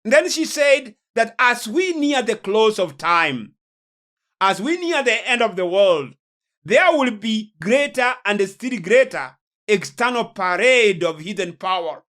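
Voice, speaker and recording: A male preacher with a Kenyan accent speaks in a sermon-like lecture. His delivery is slow and deliberate. He keeps a solemn manner and puts emphatic stress on certain words. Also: sits high, very animated, sharp tone.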